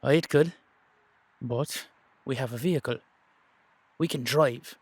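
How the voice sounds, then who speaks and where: A man's voice: mid-range voice, a little slow, slightly rough, dry recording. A man voicing a long-lived Irish warrior, a blunt, affectionately scolding mentor, in an amateur audio roleplay. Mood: explaining.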